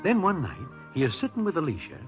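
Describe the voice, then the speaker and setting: An actor narrating as a wry, dry storyteller in 1940s radio style. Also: male voice, a little high, huge pitch swings, quick pace, muffled recording. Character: wry male storyteller narrating in the present tense. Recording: narration over music. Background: music.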